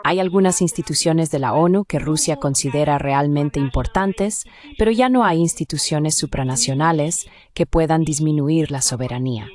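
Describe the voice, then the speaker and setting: Low-pitched woman explaining as an expert. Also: moderate pace, few pauses, a little mellow. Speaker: interview guest, academic expert.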